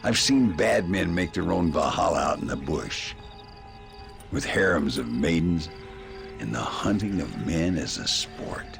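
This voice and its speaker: A weathered old gunslinger for a western game. The voice is low and full of gravel, swinging widely as he spins wry, world-weary tales, with music underneath. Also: sing-song, unhurried, full character voice. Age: older. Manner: wry, drawling storytelling. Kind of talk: western video game acting.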